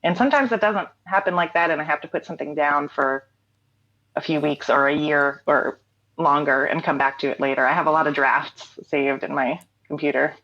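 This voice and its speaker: A woman in her late thirties talks through her creative habits in a deep, low voice. She muses aloud with many "um"s and "like"s and laughs easily. The sound is a bit dull and lo-fi, like a remote call. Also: steady tone, a little fast, a bit bright. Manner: thoughtful, frequent "um" and "like", laughs easily. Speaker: guest, singer-songwriter. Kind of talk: reflective conversational interview.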